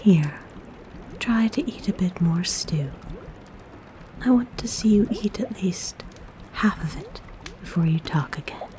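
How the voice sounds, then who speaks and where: A woman playing a kind, motherly forest witch in a soft, breathy, gentle whisper for an ASMR roleplay. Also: lowish voice, expressive. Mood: fussing, caring. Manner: gentle, soothing, coaxing, unhurried. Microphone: close microphone.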